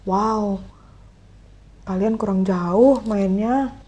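Woman host, 30s, husky dark tone, thoughtful, fills pauses. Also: medium pitch. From Indonesia. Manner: reflective, many fillers and pauses. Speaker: podcast host. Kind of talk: casual solo podcast talk.

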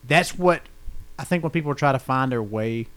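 A man's voice: medium pitch, lively intonation, moderate pace, a bit bright. A man with a growly voice, hosting a casual interview and chatting in a rambling way. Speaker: podcast host.

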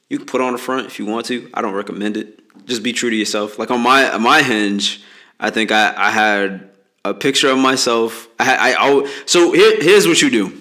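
A man's voice: on the low side, bright, forceful tone. A man in his late twenties co-hosting a podcast, giving laid-back, joking dating advice in casual slang. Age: late 20s. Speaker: podcast co-host. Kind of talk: casual chat.

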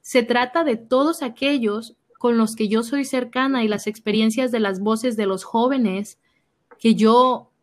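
Young Mexican woman, youth minister, fairly high voice, animated storyteller acting out her own reactions. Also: unhurried. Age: young adult. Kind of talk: personal testimony in conversation.

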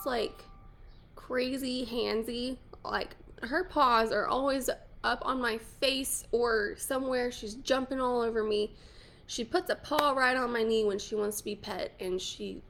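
A woman's voice: fairly high pitch, creaky. A woman, chatting casually and playfully as a podcast host. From New Mexico. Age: 20s. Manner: chatty, self-deprecating, playful asides. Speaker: podcast host, wedding photographer. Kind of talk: casual chat.

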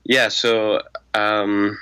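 A man in his twenties with a voice raspy from a cold, answering questions in a laid-back, rambling way with many fillers. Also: on the low side, little pitch movement, drawn-out words, pushed and bright. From Arizona. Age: 20s. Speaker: guest, music producer. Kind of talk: casual podcast interview.

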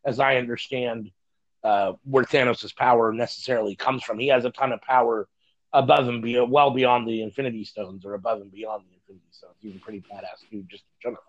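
A man's voice: medium pitch, steady tone, a little fast. Casual male podcast co-host, slightly dull audio. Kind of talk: casual movie review chat.

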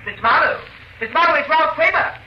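An actor playing a polite, eager ship's entertainment organizer in a vintage radio drama. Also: male voice, very high-pitched, fast talker, over loud music. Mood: brisk announcing. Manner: courteous, formal. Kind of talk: 1940s radio drama acting. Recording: muffled, very noisy vintage radio recording.

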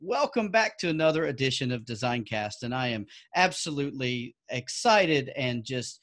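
A man's voice: medium pitch, lively intonation, moderate pace, a bit bright. A man hosting a podcast, reading his intro and interviewing a guest in an upbeat, enthusiastic way. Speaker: podcast host.

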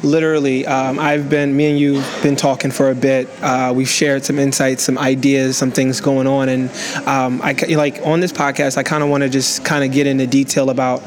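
Male podcast host, calm and hesitant, mid-pitched voice, relaxed interview chat. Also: normal speed. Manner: calm, hesitant, many "um" and "kinda".

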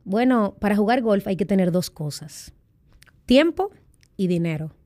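A middle-aged woman with a Dominican accent, telling her own story in a candid interview, lively and full of quoted voices. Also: medium pitch, a little slow. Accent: Dominican Spanish. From Dominican Republic. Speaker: guest.

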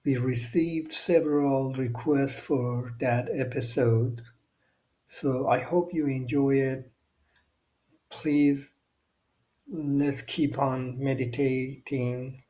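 A man hosting a guided meditation podcast, speaking non-native English in short, measured phrases with long pauses. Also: medium pitch, dark tone, low-quality recording. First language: not English. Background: music.

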